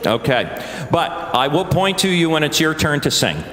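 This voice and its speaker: A man leading a live church concert, talking to the audience in a joking, self-deprecating way, halting with many fillers. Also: a little high, expressive, sharp tone, a little hiss or hum. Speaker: choir director leading a singalong. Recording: roomy live recording with long church reverb.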